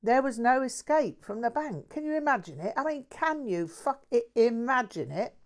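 A woman's voice: a little high, lively intonation, normal speed, pitched above the performer's own voice, lightly acted. A woman voicing a crude bloke. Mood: ranting. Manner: swearing, slangy, ranting. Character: crude brash working-class London man.

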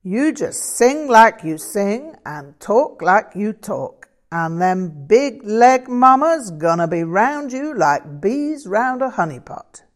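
An exuberant American bluesman from a novel , acted out by a woman narrator, talking in swaggering slang. He teases his friends and stretches out his phrases, in a pitch lifted above the reader's own. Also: big pitch swings, slow pace, few pauses. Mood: laughing, encouraging.

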